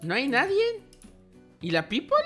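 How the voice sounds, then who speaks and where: A young man with a high, youthful voice hosts a playful, exclamatory gaming roleplay. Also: wildly swooping pitch, measured pace, pushed and bright. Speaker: gaming video host. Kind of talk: excited gameplay roleplay chat. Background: background music.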